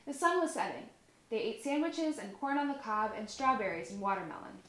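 A woman's voice: a little high, fairly quick. A young woman reading a children's story aloud expressively in a living room, acting out the dialogue. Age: young adult. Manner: animated, acts out dialogue. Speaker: storybook reader, high school senior. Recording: roomy indoor echo.

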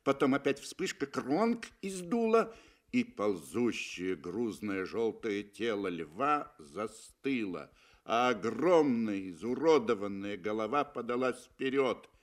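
A man reading literary fiction as an actor in a mid-century Soviet radio play, theatrical and deliberate, with weighty pauses. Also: lowish voice, big pitch swings.

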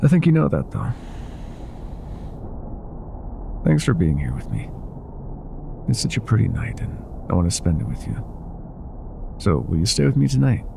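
A man playing an older ex-mafia boyfriend, wry, tender and self-doubting, with a husky, breathy voice in an intimate roleplay audio. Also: lowish voice, big pitch swings, a little fast, pauses often, noisy background, exaggerated voice. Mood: wry, affectionate.

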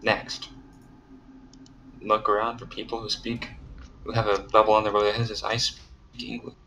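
A man's voice: on the low side, monotone, moderate pace, bright, forceful tone, a little hiss or hum, poor audio. A man playing a tabletop game, chatting casually in a playful, questioning way. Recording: music behind the voice. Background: background music.

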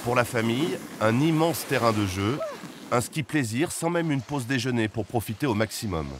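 A man giving scripted, measured narration for a television travel report. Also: medium pitch, expressive, noisy background. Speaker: documentary narrator.

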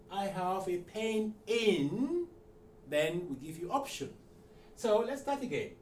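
A middle-aged man teaching a language lesson at a whiteboard. He goes slowly, repeats each phrase several times, and says the model phrases louder and higher. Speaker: Arabic teacher. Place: room in front of a whiteboard. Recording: roomy, distant, faint hiss.

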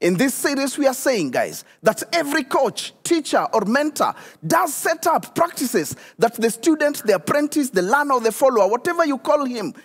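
Pastor, middle-aged man, animated direct-address sermon, reverberant hall sound. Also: very high voice, normal speed, hardly any pauses, faint music behind. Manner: animated, addresses viewers directly, repeats key questions. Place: church stage studio, indoors.

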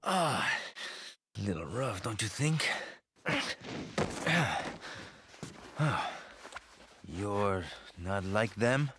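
An actor playing a cheeky, flippant Spanish rogue in a video game, with a Spanish accent and a teasing, wry manner. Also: male voice, on the low side, very animated, drawn-out words, pauses often, exaggerated voice. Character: cheeky roguish Spanish male captive, flippant charmer. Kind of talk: video game cutscene acting. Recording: game ambience noise behind.

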